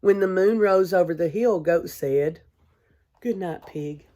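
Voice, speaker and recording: A woman, a kindergarten teacher, reading a storybook aloud to young children in a gentle, expressive way. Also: on the low side. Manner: gentle, hushed, expressive storytelling with deliberate pauses; addresses young listeners with simple questions.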